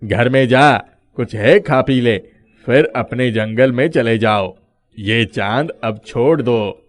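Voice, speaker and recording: A man's voice: medium pitch, slow, natural voice. A man playing a cunning, boastful thief who poses as a madman in a moral story. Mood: stubborn. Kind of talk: animated moral story dubbing.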